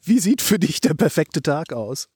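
A man's voice: a little high, sing-song, moderate pace, a little mellow, slightly rough, no echo, studio quality. A male interviewer asking short, light questions.

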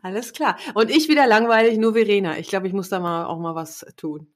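A woman co-hosting a podcast in relaxed chat, lively and teasing, laughing often. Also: medium pitch, fairly quick. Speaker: podcast co-host. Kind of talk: casual chat.

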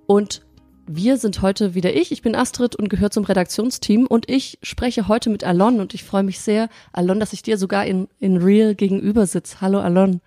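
A woman hosting a podcast on sermon ideas, chatting in a friendly way and reading a scripture passage aloud in a measured voice. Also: mid-range voice, mellow, dry recording, soft background music. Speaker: podcast host. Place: studio or quiet room, sitting across from the guest.

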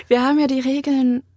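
A woman's voice: sits high, a little mellow, dry recording, studio quality. A woman, chatting casually as an interview guest. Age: 20s. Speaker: interview guest, acting student. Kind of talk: casual chat.

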